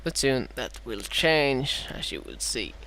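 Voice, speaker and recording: A man from Sweden with a Swedish accent and a dry throat, casting his own strategy game match in a halting way, full of "uh"s and self-corrections. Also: mid-range voice, big pitch swings, bright, forceful tone, slight background noise, poor audio. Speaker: gameplay commentator.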